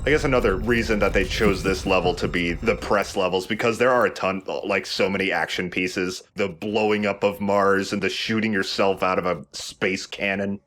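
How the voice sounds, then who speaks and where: A man's voice: low voice, steady tone, normal speed, few pauses, a bit bright. A man hosting a let's play, giving casual, joking commentary on a video game. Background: game music.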